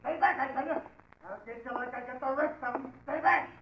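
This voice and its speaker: An actor playing a ruthless, trigger-happy gangster on the run, barking threats in tough street talk in a 1940s radio drama. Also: male voice, extremely high pitch, a little fast. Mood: desperate. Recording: dull hissy 1940s radio recording.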